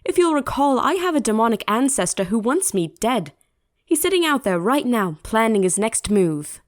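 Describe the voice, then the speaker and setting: A woman's voice: a little high, very animated, moderate pace, no room sound, clean studio sound. A woman playing a proud, determined young princess in an audio drama, firm and guarded. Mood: defiant. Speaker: voice actor.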